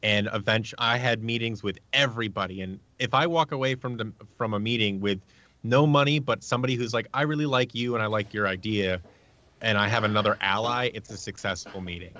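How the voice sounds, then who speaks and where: A man with a fairly low, sharp-edged voice talks casually as a guest in an interview. He explains things at length and slips into quoted exchanges. The sound is very dry, with a slightly dull, lo-fi recording. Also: a little fast, no room sound. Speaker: guest, audio drama creator.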